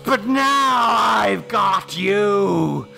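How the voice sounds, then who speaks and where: A man's voice: sits high, animated, extremely slow, gravelly, pushed and bright, raised voice, faint music behind, heavily acted. A man voicing a crazed, hateful mad scientist who rants and taunts in a horror audiobook. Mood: furious. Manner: ranting, taunting, megalomaniac. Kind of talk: dramatic audiobook horror acting.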